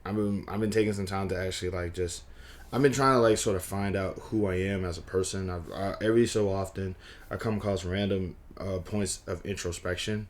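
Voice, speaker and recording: A man with a very low, hoarse voice talks straight to camera in a loose vlog. He rambles, restarts his sentences and keeps a wry, conversational tone. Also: steady tone, fairly quick. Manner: rambling, frequent restarts and fillers. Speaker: vlogger. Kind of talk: casual talking-head vlog.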